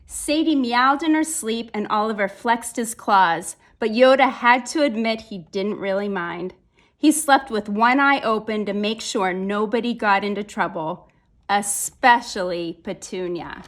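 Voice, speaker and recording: A woman reading a children's picture book aloud, phrasing deliberately with dramatic emphasis. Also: medium pitch, fairly bright tone. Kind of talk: animated children's story read-aloud.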